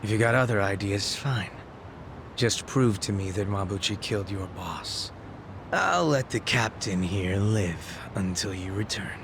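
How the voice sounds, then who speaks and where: An actor playing a sly, mocking gang boss who makes gleeful threats in a video game dub. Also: male voice, lowish voice, expressive, measured pace, noisy background. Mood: cold threat. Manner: casual profane taunting.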